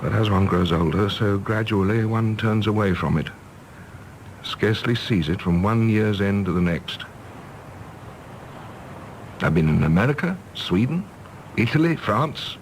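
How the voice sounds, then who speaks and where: An actor playing a disillusioned middle-aged English diplomat, bitter and self-mocking, in an impassioned radio-drama style. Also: male voice, on the low side, slight background noise, low-quality recording. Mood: wistful, anguished. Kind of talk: stage-play radio drama acting.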